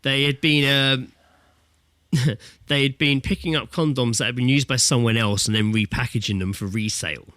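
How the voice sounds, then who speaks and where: A man in his thirties with a bright, forward voice and a very dry sound. He talks like a podcast co-host trading blokey, sweary banter, jokey and casual throughout. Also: mid-range voice, fairly even intonation, normal speed, dry recording. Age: 30s. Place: remote internet call.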